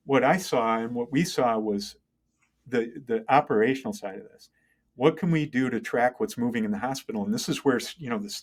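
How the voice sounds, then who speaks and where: A middle-aged man speaking as an interview guest, conversational and halting, thinking aloud and often checking in with the listener. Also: medium pitch. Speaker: interview guest, tech entrepreneur and engineer. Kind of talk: conversational interview, telling career story.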